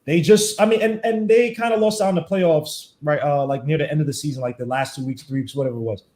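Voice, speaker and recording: Fast-talking animated man in an echoey room. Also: a little high. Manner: fast, animated, emphatic arguing. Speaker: podcast host. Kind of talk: casual sports debate.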